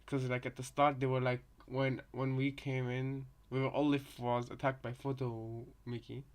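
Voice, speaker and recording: A man's voice: medium pitch, little pitch movement, moderate pace. Rambling male reactor, casual commentary. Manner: rambling, repeats and reworks phrases. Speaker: reaction video host.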